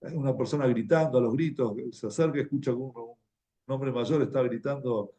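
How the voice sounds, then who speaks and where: A man with an Argentine Spanish accent giving an informal religious talk, telling anecdotes in a halting, conversational way and repeating words as he goes. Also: mid-range voice, dark tone. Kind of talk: informal sermon, anecdotal storytelling.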